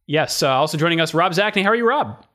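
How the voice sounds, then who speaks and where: A man hosting a podcast, opening the show and reading the sponsor plugs in a friendly, relaxed way. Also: fairly high pitch, quick pace. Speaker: podcast host. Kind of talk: casual chat with scripted show intro.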